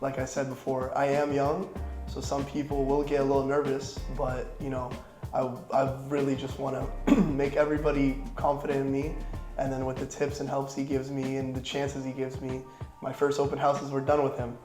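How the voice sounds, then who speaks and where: A young man in his twenties from Florida talks through an interview in an easygoing, rambling way, with lots of "you know". His pitch stays flat and even. It sounds like an echoey room with music playing underneath. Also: normal speed. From Florida, United States. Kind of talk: casual interview answers.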